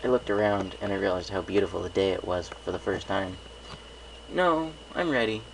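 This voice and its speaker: Low, creaky man narrating a story. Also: little pitch movement, quick pace, darkish tone, slight background noise, poor audio. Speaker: amateur story reader. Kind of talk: first-person scripted story narration.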